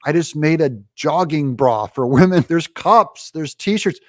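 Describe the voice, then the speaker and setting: A man's voice: a little high, expressive, unhurried, darkish tone, no echo, clean studio sound. A man hosting political commentary, opinionated and sarcastic, reacting emphatically to clips. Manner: sarcastic, emphatic, mocking voices.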